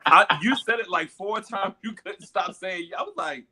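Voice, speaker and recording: A man with a bright, sharp-edged voice teases his fellow panelists over a video call. His delivery is playful and quick to poke fun. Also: high-pitched, a little hiss or hum. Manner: playful, teasing. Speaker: panelist, pageant titleholder. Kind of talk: casual panel chat.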